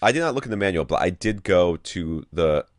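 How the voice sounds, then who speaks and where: A man with a deep voice chats casually as a podcast co-host. His intonation moves around a lot, animated and informal, and the sound is dry and close, without room echo. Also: fairly quick. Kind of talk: casual chat.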